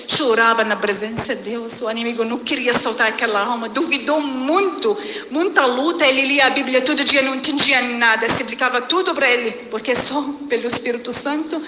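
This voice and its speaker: High-voiced woman. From Syria. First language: Arabic. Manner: fervent, halting non-native phrasing, repeatedly calling the congregation. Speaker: church member giving testimony. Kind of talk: emotional church testimony. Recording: echoey hall with background noise.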